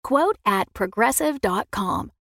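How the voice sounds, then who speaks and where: A woman's voice: medium pitch, expressive, slow pace, vocal fry, a bit bright, no echo, clean studio sound. A woman announcer reading a scripted advertisement and its disclaimer.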